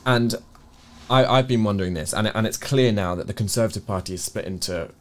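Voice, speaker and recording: A man with an English accent speaks in a low register. He talks as a co-host on a news review, setting out his views earnestly. His delivery is conversational and explanatory, with careful hedging. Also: a little fast. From England. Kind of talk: conversational political discussion.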